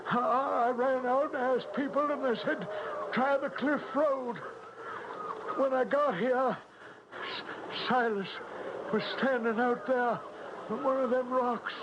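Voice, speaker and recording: Distraught innkeeper for radio drama: extremely high voice, halting, slow delivery, noisy muffled recording. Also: male voice, steady tone, heavily acted. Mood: agitated, worried. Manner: halting, agitated, shouting. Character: frantic, distraught village innkeeper calling for help. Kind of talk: old-time radio drama acting.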